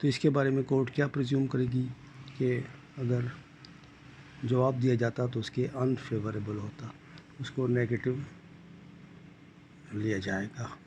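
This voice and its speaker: An older man with a Pakistani accent giving an explanatory law lecture, deliberate and repeating his points in other words. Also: mid-range voice, flat delivery, dark tone, a little hiss or hum. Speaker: retired judge teaching law.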